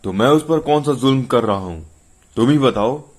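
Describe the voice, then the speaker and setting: A man's voice: medium pitch, animated, moderate pace, pitched above the performer's own voice, natural voice. A man voicing an angry, domineering wealthy businessman father who scolds and blusters in indignation, in a narrated story. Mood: indignant.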